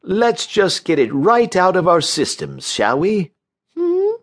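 A man voicing a calm, unctuous division chief doctor in a storybook reading, soothing and patronizing. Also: a little high, voice pushed up, full character voice. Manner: soothing, oily, patronizing, drawn-out questioning hmms. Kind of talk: audiobook character voice in a comic story.

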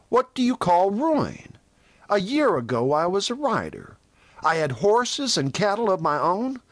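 A man playing a high-pitched, defiant young cowboy. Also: no room sound, exaggerated voice. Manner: passionate, taunting, high-strung. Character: defiant, proud young outcast cowboy, passionate and bitter. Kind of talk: audiobook character dialogue in a western.

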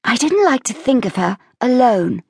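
A woman voicing a glamorous, daring young socialite who talks in a bright, breezy, teasing way. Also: on the high side, sing-song, moderate pace, gravelly, slightly rough, dry recording, clean studio sound, voice pushed up, heavily acted.

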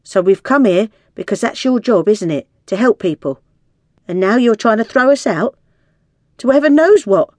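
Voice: mid-range voice, a little fast, dry recording, lightly acted. An audiobook narrator voicing blunt, indignant islander women with a scolding, colloquial manner. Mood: indignant, insistent. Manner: scolding, colloquial tag questions.

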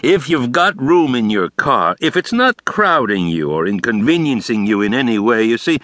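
Hearty middle-aged father, high and coaxing, put on by a male reader. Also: very animated, moderate pace, fairly bright tone, no room sound, clean studio sound.

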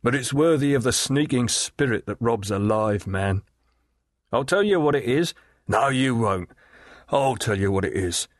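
A man's voice: mid-range voice, animated, unhurried, no echo, studio quality, natural voice. A man voicing a surly, blunt older boatman who is a father.

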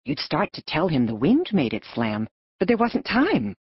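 Woman reading a story audiobook, low voice but very expressive, dry slightly dull sound. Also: normal speed, slightly rough, no echo. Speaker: audiobook narrator. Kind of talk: scripted story narration.

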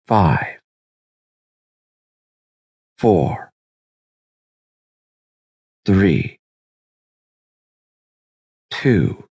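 A man narrating a scripted guided meditation in a soothing, measured, unhurried manner. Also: deep voice, gravelly, darkish tone, slightly rough, no echo. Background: background music.

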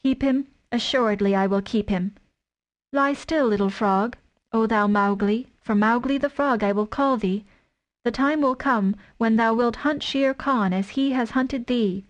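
A woman's voice: on the high side, a little slow, lo-fi sound, close to an everyday voice. A woman voicing a fierce, protective mother wolf who speaks with defiant force in a storybook reading. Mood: fiercely tender.

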